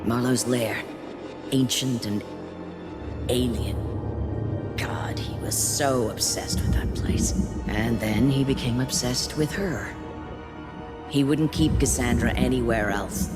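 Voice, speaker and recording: An actress playing a bitter, unravelling corporate officer in a video game cutscene, pleading with desperate intensity. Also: female voice, a bass voice. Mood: hushed, resigned. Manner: intense, pleading, slow and emphatic. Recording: long reverb, very loud music.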